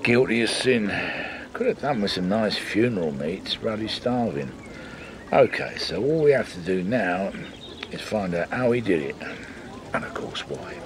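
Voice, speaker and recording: An actor playing a grumpy, greedy older English police chief inspector, gruff and sardonic in a radio drama. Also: male voice, on the low side, animated, a little slow, noisy background.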